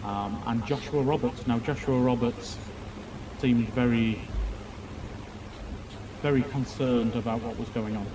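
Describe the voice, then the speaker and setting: A man in improvised online roleplay, giving testimony in a measured, wordy, hesitant way. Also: mid-range voice, steady tone, noisy background. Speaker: streamer roleplaying a court witness.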